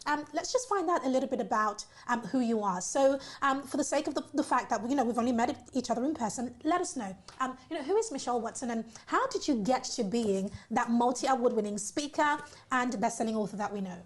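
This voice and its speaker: Effusive high-voiced woman hosting. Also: lively intonation, brisk, few pauses. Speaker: talk show host. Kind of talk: enthusiastic interview show hosting.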